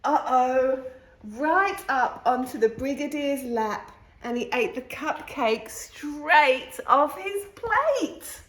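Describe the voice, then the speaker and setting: A woman reading a children's picture book aloud in an animated way, with exclamations and asides to young listeners. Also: high voice, slow pace. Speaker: storytime reader. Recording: roomy, echoing room sound.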